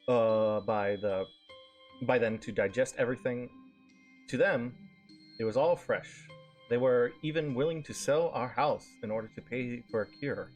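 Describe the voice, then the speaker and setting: A male streamer reading a visual novel aloud with casual asides, stumbling over words and swearing casually. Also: medium pitch.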